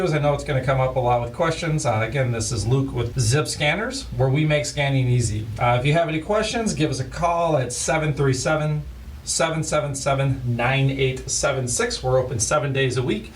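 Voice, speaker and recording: A man's voice: mid-range voice, fairly quick. A man hosting a casual product demo, explaining plainly with many fillers. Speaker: host of a scanner shop's product videos. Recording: voice a bit far from the mic, faint hiss.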